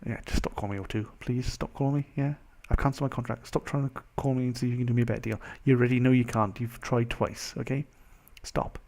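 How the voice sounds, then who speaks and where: A young man with a mild Welsh accent, chatting casually as a vlogger in a rambling, animated, self-interrupting way. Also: mid-range voice, fast, few pauses. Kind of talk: casual chat to camera.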